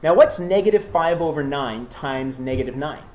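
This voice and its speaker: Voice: mid-range voice, animated, moderate pace, a little mellow. A math teacher who has a cold gives a classroom lecture, often checking in with students and encouraging them.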